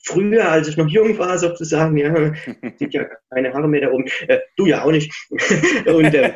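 A man's voice: on the high side, normal speed, highs cut off. A middle-aged man talking as an author guest in a casual interview, chatty, reflective and self-deprecating.

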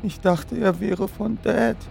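A man's voice: high voice, fairly even intonation, creaky, a little mellow, a little hiss or hum, pitched above the performer's own voice, full character voice. Young boy for a detective storybook, played by a man: anxious, sad, thin raised voice, hesitant and upset. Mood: subdued. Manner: halting, upset.

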